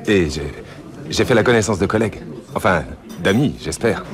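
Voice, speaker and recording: Man acting a low-voiced, stammering businessman. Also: animated, noisy background, close to an everyday voice. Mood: flustered. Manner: stammering, halting, repeating words. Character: hesitant, polite, anxious businessman eager to please. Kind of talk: radio drama acting. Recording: busy chatter of a crowded bar.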